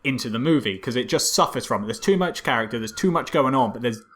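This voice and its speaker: A man's voice: mid-range voice, lively intonation, fast, fairly bright tone, slight background noise. A man co-hosting a podcast, chatting casually about films in a rambling way and often repeating the starts of his phrases. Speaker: podcast co-host. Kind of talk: casual film review chat.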